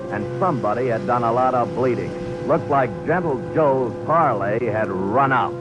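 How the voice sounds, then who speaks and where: A man's voice: medium pitch, moderate pace, lightly acted. An actor playing a tough, hard-boiled male private detective who narrates in a blunt, clipped, dry manner, in a 1940s radio drama. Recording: dull vintage radio recording with music.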